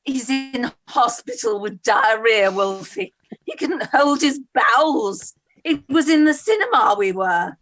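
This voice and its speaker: A woman's voice: on the high side, big pitch swings, measured pace, fairly bright tone, exaggerated voice. A woman playing an indignant, gossipy married village lady who overshares in a comedy radio play.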